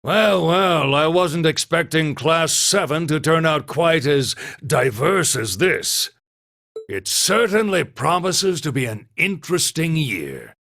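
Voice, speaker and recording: An actor playing a grand, elderly male military academy principal in an anime game dub, stately and deliberate. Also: on the high side, big pitch swings, vocal fry, a bit bright, heavily acted. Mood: thoughtful, amused. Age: older.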